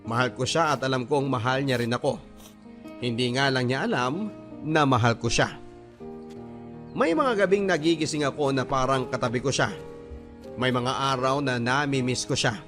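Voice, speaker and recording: Lalaking tagapagsalaysay na may musika sa likod. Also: male voice, mid-range voice, moderate pace, pauses often, a bit bright. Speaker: narrator reading a love story letter. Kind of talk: dramatic scripted narration in classic radio storytelling. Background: music.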